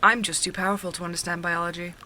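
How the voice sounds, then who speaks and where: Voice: mid-range voice, level tone, fast, sharp tone, a little hiss or hum. An actor playing a cocky, playful barista with mock-grandiose airs in an audio drama, with café ambience behind. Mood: teasing, smug. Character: cocky, teasing barista claiming to be a god. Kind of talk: audio fiction dialogue.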